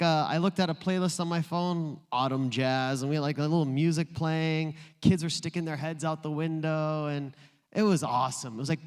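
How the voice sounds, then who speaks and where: A male pastor preaching a sermon in a playful, joking storytelling way. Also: fairly high pitch. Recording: long reverb in a large room.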